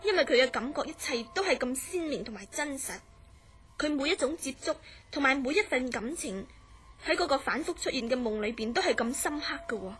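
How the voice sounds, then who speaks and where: An actress playing a concerned young woman in a Cantonese horror radio drama, telling gravely of her troubled friend with a Hong Kong accent and measured, polite delivery. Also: high voice, expressive, fairly bright tone, full character voice. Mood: earnest, grave.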